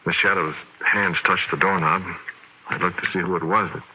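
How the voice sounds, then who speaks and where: An actor playing a shaken, haunted man who confides a ghostly encounter in halting, emphatic phrases, in the style of old-time radio horror. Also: low-pitched, fairly even intonation, sharp tone, a little hiss or hum, low-quality recording. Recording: vintage radio recording.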